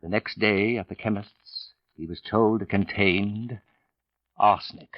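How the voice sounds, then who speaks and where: A man narrating 1940s radio suspense in a dramatic scripted style, with deliberate pauses and ominous build-up. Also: lowish voice, steady tone, natural voice. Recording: dull vintage radio recording with music.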